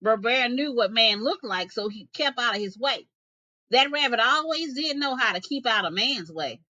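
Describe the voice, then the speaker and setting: A woman reading a folktale aloud as an expressive storyteller. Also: a little high, normal speed, no echo.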